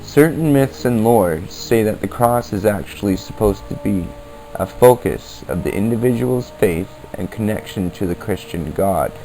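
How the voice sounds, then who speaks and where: Male podcast narrator, earnest, deliberate, scripted, lowish pitch, faint music bed, amateur recording. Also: lively intonation, creaky, mellow, poor audio. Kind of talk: scripted narration on the paranormal in old-time radio format. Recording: basic amateur equipment, music underneath.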